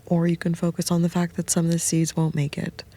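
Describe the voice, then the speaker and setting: Whispering woman, low gravelly murmur. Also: monotone, brisk, a little hiss or hum. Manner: soft whispering, meandering and intimate. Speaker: host. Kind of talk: whispered late-night rambling. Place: small sound booth, lying on the floor.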